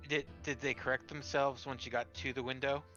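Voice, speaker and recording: A friend on a voice-chat line joins the conversation with teasing asides. The voice is bright and forceful but comes through slightly muffled and lo-fi. Faint music plays underneath. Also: medium pitch, flat delivery, fairly quick. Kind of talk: casual chat.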